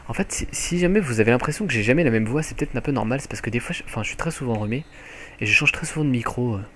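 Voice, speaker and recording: A young man with a French accent giving live commentary on his own online match from home, excitable and jokey, swearing often. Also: medium pitch, rapid-fire, a little hiss or hum. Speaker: gaming commentator. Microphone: headset mic.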